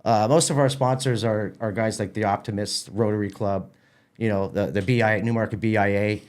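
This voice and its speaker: A middle-aged or older man chatting as an interview guest, halting and repeating words as he goes. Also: lowish voice, fairly even intonation, darkish tone. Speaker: festival organiser, podcast guest. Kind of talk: casual interview chat.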